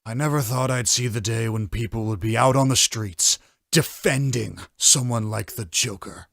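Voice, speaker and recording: A man's voice: mid-range voice, moderate pace, gravelly, a bit bright, no echo, clean studio sound. A man voicing a combative, opinionated television news pundit in a comic fan dub. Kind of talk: fan dub voice acting, debate.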